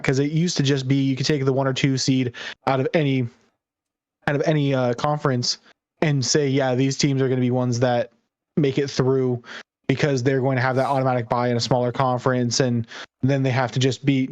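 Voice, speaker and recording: A man's voice: mid-range voice, darkish tone, no echo. A man co-hosting a podcast with casual analysis, rambling and repeating words as he works through his thoughts. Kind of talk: casual esports discussion.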